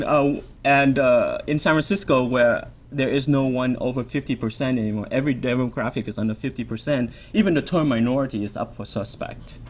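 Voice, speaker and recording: A man's voice: medium pitch, a little hiss or hum. A man in his thirties whose first language is Vietnamese, answering audience questions at a podium mic, thoughtful, with many ums and restarts. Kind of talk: public Q&A. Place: lecture room at a podium.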